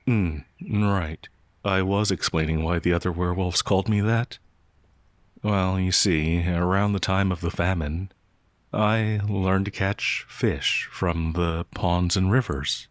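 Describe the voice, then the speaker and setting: Casting: male actor for a shy, innocent young werewolf in fantasy ASMR roleplay; low register, halting delivery, very dry close recording. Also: fairly even intonation, dry recording. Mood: shy, gentle. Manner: hesitant, halting, stammering, easily flustered. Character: gentle, timid, naive young male werewolf peasant.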